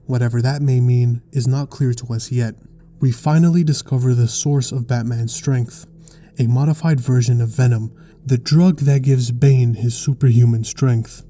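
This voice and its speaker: Man, scripted video essay narrator, very mellow dark tone, average pitch, articulate, measured. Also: fairly even intonation.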